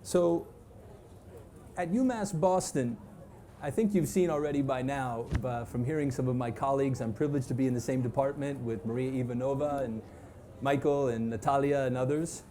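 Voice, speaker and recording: A man, a professor, introducing a student speaker at a university event in a friendly tone with many filler pauses. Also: mid-range voice, dark tone, slight background noise. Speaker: professor and moderator. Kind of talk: introductory academic talk.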